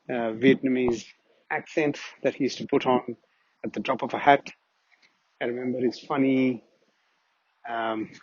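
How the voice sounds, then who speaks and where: A man's voice: medium pitch, darkish tone, husky, dry recording. A middle-aged man giving an earnest, reminiscing memorial tribute as a family friend, often restarting his sentences. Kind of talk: personal spoken tribute. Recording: dull, muffled audio.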